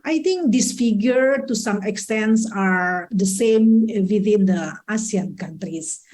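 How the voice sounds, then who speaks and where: A woman from Indonesia with an Indonesian accent, speaking as a panelist in a halting conference presentation with non-native English phrasing. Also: mid-range voice, a little mellow. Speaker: conference panel speaker, social economy advocate.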